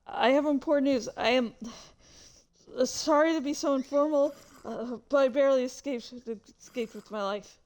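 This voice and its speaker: A woman's voice: high voice, moderate pace, a little mellow, pitched above the performer's own voice, close to an everyday voice. A woman voicing a frantic, breathless courier who stammers urgently in a fantasy story reading. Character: frantic, breathless courier bringing bad news.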